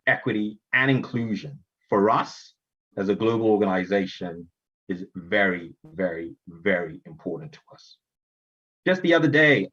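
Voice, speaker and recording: Deep husky guy from zimbabwe opening an online summit, earnest, kinda dull lo-fi stream audio. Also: fairly bright tone. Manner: earnest, emphatic, with fillers and restarts. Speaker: event host. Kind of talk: virtual summit welcome address. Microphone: virtual conference stream.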